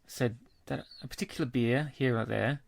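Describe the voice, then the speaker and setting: A man with a gravelly, creaky voice co-hosts a casual beer podcast, jokey and easygoing as he leads into segments. His mid-pitched voice is recorded close and dry. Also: normal speed, no room sound. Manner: jokey, laughs along. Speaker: podcast co-host. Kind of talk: casual chat and show links.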